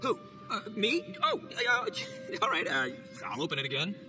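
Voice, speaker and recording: A man voicing a loud, boastful and goofy young male oni gang boss in a game cutscene. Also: high voice, wildly swooping pitch, normal speed, music in the background. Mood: swaggering. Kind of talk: video game cutscene voice acting.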